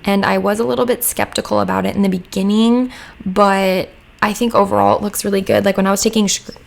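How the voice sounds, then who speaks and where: A young woman with a hoarse voice, chatting casually as she narrates a home-building game. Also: mid-range voice, steady tone, brisk. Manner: rambling, chatty, lots of "like" fillers. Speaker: Sims speed build creator. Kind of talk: casual voice-over chat. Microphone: home mic voice-over.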